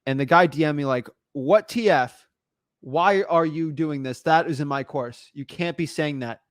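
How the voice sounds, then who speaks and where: A young man hosting a casual chat, telling stories in an energetic, animated way. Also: fairly high pitch, no room sound, clean studio sound. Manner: energetic, fast-talking, animated storytelling. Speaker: podcast host.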